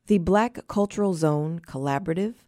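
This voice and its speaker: A woman's voice: fairly low pitch, moderate pace, mellow, no echo, studio quality. A woman narrating a scripted radio feature as a reporter.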